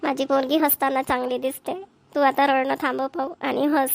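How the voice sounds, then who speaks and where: A woman's voice: high-pitched, little pitch movement, moderate pace, creaky. A woman voicing a fretful, doting farm wife in a village cartoon, plaintive and repetitive. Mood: doting, tearful. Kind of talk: Marathi village cartoon comedy dialogue.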